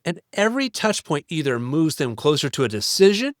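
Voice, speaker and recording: A man's voice: a little high, big pitch swings, fairly quick, no room sound, clean studio sound. A man presenting an explainer to business owners in an instructive way, with rhetorical questions and emphatic lists.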